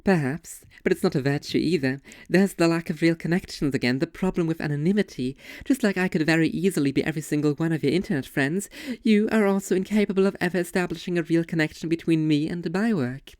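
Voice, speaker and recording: An intense, sardonic young woman played by a performer argues her case in deliberate, articulate sentences. The voice sits at a middling pitch and is recorded very dry and close. It sounds like scripted literary dialogue, opinionated throughout. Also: a little mellow, dry recording, natural voice. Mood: argumentative, sardonic. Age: young adult. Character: two young women debating art, intense and sardonic. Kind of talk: scripted literary dialogue, argumentative monologue delivery.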